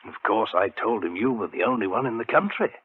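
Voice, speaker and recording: An actor playing an obliging, chatty, deferential library attendant in a 1940s radio drama. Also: male voice, fairly low pitch, lively intonation, quick pace, slightly rough. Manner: eager, deferential. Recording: muffled 1940s radio, faint noise.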